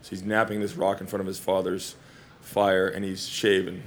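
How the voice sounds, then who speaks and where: Man, from Hawaii, actor guest, slightly low voice, rambling animated anecdotes. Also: normal speed, a little hiss or hum. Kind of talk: casual Q&A storytelling.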